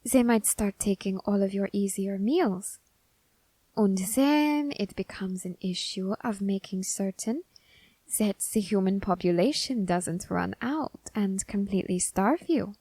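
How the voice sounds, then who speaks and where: A woman playing a scholarly, quietly menacing Grim Reaper in an ASMR roleplay, speaking in formal, deliberate phrases with pauses. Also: medium pitch, no room sound. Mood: intrigued, musing. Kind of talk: ASMR character roleplay audio drama.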